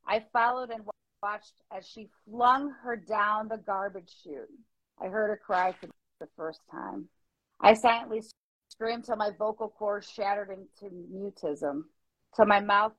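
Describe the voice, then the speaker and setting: A woman's voice: mid-range voice, measured pace, a bit bright, no room sound. A woman speaking in an earnest, emotive way at a spoken-word open mic. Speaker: open mic poetry reader and participant. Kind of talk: dramatic poetry reading and casual discussion. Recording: muffled lo-fi dry audio.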